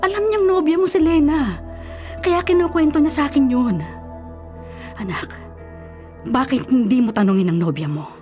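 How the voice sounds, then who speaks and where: A woman's voice: high voice, normal speed, pauses often, warm tone, faint music behind, close to an everyday voice. An actress playing an older, worried and caring Filipino mother in a radio drama, fussing and nagging at her grown son. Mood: reproachful, worried.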